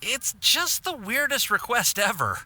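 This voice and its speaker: Anime game youth: eager, flustered assistant, high-pitched, piercingly bright, exasperated and hesitant. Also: male voice, sing-song, a little slow, no room sound, full character voice. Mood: reluctant. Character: eager, flustered young male assistant alchemist.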